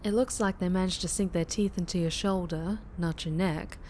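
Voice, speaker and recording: A woman's voice: on the low side, steady tone, moderate pace, darkish tone, a little hiss or hum, lightly acted. A woman playing a fierce, flirtatious vampire biker with a Southern US accent, teasing and protective in an intimate ASMR roleplay. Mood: concerned, protective.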